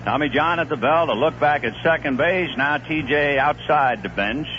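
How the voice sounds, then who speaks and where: A man calling a baseball game live on the radio, swinging from calm analysis between pitches to rising excitement on big plays, in a 1970s broadcast. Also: moderate pace, dull audio, a little hiss or hum. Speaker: play-by-play announcer. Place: stadium broadcast booth.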